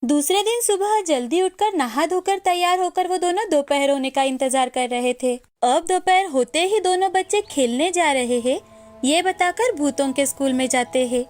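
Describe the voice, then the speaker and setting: A woman's voice: high-pitched, moderate pace, a little mellow, faint music behind. A woman reading a scripted children's story as its narrator.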